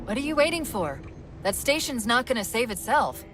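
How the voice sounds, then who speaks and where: An actress voicing a sharp-witted female military AI in a game cutscene, crisp and dry. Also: on the high side, sing-song, normal speed. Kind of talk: video game cutscene acting. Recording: game audio with music.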